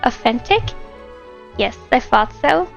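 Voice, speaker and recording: High-pitched woman streamer with creaky edges. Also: big pitch swings, slow pace. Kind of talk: live gameplay commentary, casual chat with viewers. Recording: dull microphone sound, game music behind. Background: video game music.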